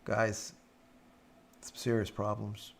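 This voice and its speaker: Raspy gravelly guy rambling through chat comments on his stream, lots of ums. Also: fairly low pitch, monotone, slow. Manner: rambling, filler-heavy, hums while scrolling. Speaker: live stream host. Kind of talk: casual live-stream chat reading viewer comments.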